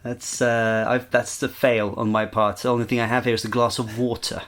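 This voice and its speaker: A man's voice: mid-range voice, little pitch movement, normal speed, fairly bright tone. A man chatting casually as a podcast co-creator. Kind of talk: casual chat.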